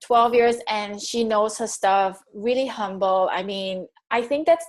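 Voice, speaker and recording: A woman's voice: mid-range voice, a little slow. A woman in her thirties from Singapore with a Singaporean English accent, hosting an interview in an enthusiastic, chatty way with frequent laughs. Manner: enthusiastic, laughs often, restarts phrases. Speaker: host. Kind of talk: interview chat.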